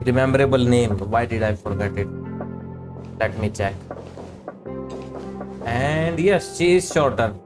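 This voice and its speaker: A man's voice: mid-range voice, measured pace, pauses often. A man doing casual live game commentary in short offhand asides as he streams. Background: game music.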